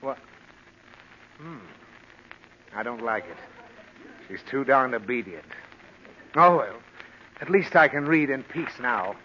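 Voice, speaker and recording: A man's voice: medium pitch, expressive, slow pace, long pauses. An actor playing an exasperated, easily flustered father, fussy and emphatic, in 1940s radio comedy style. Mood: stern. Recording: scratchy 1940s radio, narrow and noisy.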